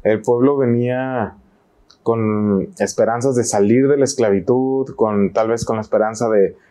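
A man's voice: mid-range voice, fairly even intonation, a little slow, dark tone. A man in his thirties with a Mexican accent, a panel guest thinking aloud with small restarts. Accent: Mexican Spanish. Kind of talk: casual discussion. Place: TV studio set.

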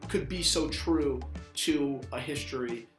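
A man's voice: medium pitch, measured pace, soft background music. Young man chatting in an echoey room. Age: 20s. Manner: rambling, peppered with "you know". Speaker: watch channel host. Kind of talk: casual enthusiast chat to camera. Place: small indoor office, seated at a desk.

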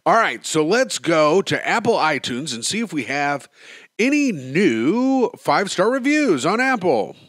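A young man with a somewhat high voice talks his way through a solo podcast episode. He is rambling and self-mocking, with a stuffed-up, sniffly nose. The sound is dry and close, with no room around it. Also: sing-song, unhurried, fairly bright tone, dry recording. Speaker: podcast host.

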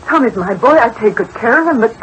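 A woman's voice: a little high, expressive, quick pace, dull audio, slight background noise, lightly acted. An actress playing a poor, anxious, evasive mother in an old radio drama, hesitant and pleading.

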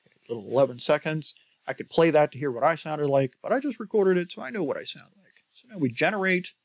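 A man in his forties with an American accent hosting a casual software tutorial in a conversational, emphatic way. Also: fairly high pitch, animated, fast talker, dry recording. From United States. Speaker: tutorial host.

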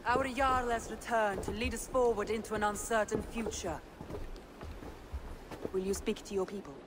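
A woman's voice: medium pitch, fairly even intonation, normal speed, background noise, natural voice. Woman as a grave, steadfast Viking woman. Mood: formal. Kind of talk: dramatic video game acting.

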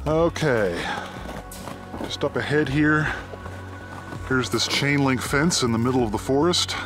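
A man with a Canadian accent narrating outdoors in a forest as he explores, pausing often with "uh" and recorded on a handheld camera mic. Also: mid-range voice, over music. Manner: thoughtful, pauses often with "uh". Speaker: abandoned mine explorer. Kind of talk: walking narration while exploring.

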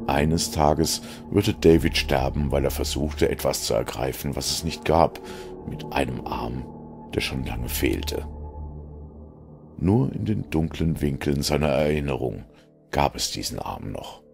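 Male narrator for a dark audio drama: bottomless register, creaky grit, brooding and theatrical. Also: rumbling low, animated, measured pace. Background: music.

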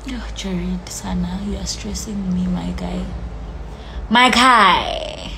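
A woman's voice: on the low side, takes it slowly, creaky, pushed and bright, a little hiss or hum. A young South African woman chatting casually on a phone live stream from a small room, reading out comments with a playful manner that drops into whispers. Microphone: phone at arm's length.

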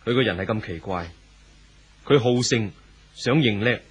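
An actor playing a frank, troubled lover in a 1970s radio drama, firm and reasoning, with a Hong Kong Cantonese accent. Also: male voice, fairly low pitch, a little slow, slightly rough. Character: frank, troubled lover confronting a married man.

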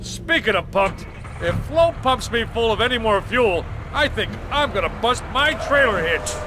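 An excitable cartoon vehicle, extremely high in pitch and bouncing all over the range. It sounds bubbly, with clear vehicle noise behind it. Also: male voice, normal speed. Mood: jolly. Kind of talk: animated game voice acting.

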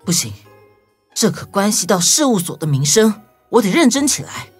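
A woman's voice: medium pitch, sing-song, moderate pace, husky, faint music behind. A woman voicing a serious, clever detective who explains things confidently in a children's audio story. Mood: determined.

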